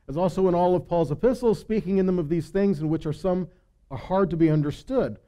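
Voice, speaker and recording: A man teaching scripture to a congregation in an emphatic sermon, often posing rhetorical questions and dropping into quieter asides. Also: fairly high pitch.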